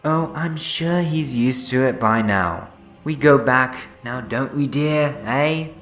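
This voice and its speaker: An actor playing a sly time-travelling schemer in a sci-fi fan audio drama, teasing and mock-polite. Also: male voice, medium pitch, takes it slowly. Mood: mock friendly. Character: sly, smug, meddling male alien time-traveller schemer. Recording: loud music behind the voice. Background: dramatic music.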